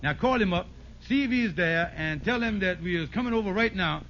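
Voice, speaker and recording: Blustery high voiced schemer, bossy and excitable, old radio sitcom, muffled noisy recording. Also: male voice, brisk. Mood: sly, insistent. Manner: folksy ungrammatical speech, blustering. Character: scheming, bossy, excitable fast-talking con man, Kingfish. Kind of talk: 1950s radio sitcom acting.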